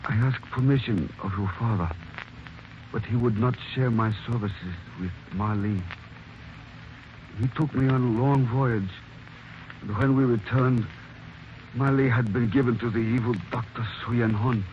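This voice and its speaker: An actor playing a solemn male servant who has kept an old oath, speaking in slow, measured 1940s radio drama style. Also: mid-range voice, lightly acted. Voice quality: slow, measured, grave delivery. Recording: muffled old radio recording with hiss.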